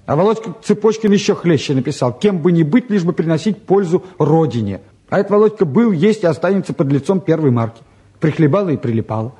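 A man acting a restless teenage lad in a radio play, with a fairly high, mellow voice. He grumbles in slang, sardonic and flippant about everything around him. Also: expressive, quick pace, lightly acted. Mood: sardonic, frank. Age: young adult. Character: bored, restless, sardonic eighteen-year-old younger son. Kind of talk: naturalistic stage and radio drama acting.

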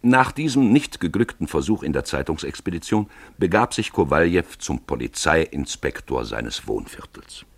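Deep, husky male storyteller, dramatic inflection. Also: normal speed, hardly any pauses, no echo. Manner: expressive, theatrical storytelling. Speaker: narrator. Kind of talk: scripted literary narration for radio drama.

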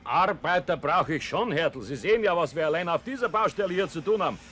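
An actor playing a demanding construction boss in a radio play, curt and authoritative. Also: male voice, fairly high pitch, expressive, fast talker, a bit bright, slight background noise. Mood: brisk, demanding. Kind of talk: naturalistic radio play acting.

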